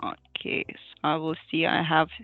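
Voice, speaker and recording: A woman's voice: sits low, completely flat delivery, normal speed, no room sound. A woman from Lithuania giving an online user group presentation on a video call, hesitant with frequent fillers and small laughs. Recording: thin phone-like dry audio, faint music.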